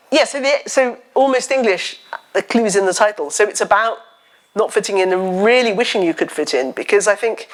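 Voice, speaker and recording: A woman novelist with a loud voice, talking at a live festival event on stage into a microphone, chatty and funny as she tells stories. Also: mid-range voice. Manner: chatty, animated storytelling with self-deprecating humour. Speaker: novelist guest. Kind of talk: live on-stage literary chat. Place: festival stage before an audience.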